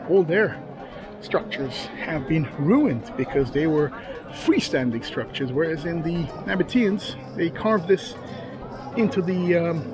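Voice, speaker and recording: Chatty man vlogging outdoors with music. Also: on the high side. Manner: chatty, hesitant with many fillers. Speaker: travel vlogger. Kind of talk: casual travel vlog narration. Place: outdoors at desert ruins and rock canyon. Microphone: handheld camera at arm's length. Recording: music playing over it.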